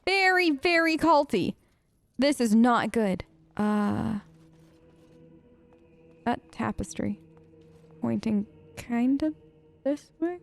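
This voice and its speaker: A woman's voice: fairly high pitch, lively intonation, slow, vocal fry, pauses often. A young woman giving chatty, playful role-play commentary while playing a game, close on the mic. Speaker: let's play host.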